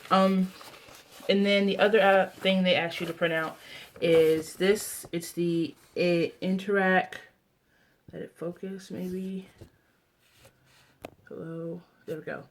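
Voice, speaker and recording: A woman's voice: on the low side, flat delivery, slow pace, a little hiss or hum. A woman in her twenties with an American accent, chatting casually as a video blogger, rambling and often breaking off mid-thought to restart. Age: 20s. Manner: rambling, self-interrupting, trailing off. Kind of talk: casual vlog chat.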